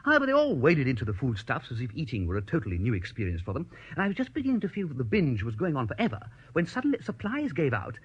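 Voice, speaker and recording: An actor playing an amiable, dithering young upper-class English gentleman, chummy and exclamatory, in a radio comedy drama. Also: medium pitch, wildly swooping pitch, fast talker, hardly any pauses, breathy edge, highs cut off. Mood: wry storytelling. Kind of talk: first-person narration and radio comedy acting.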